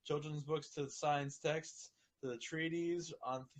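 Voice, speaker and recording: A man reading a story aloud in a group and chatting casually, often halting and stumbling over his words. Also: a little high, fairly even intonation. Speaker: amateur fan fiction reader.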